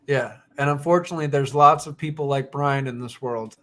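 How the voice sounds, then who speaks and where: A man's voice: medium pitch, fairly quick, sharp tone. A man hosting a casual live stream discussion, talking in a sympathetic, inquisitive way.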